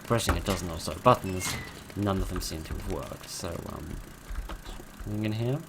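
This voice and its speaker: Hoarse, deep-voiced man muttering dryly. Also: normal speed, background noise. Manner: dry, wry and rambling, muttering to himself. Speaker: gameplay commentator. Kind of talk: let's play commentary.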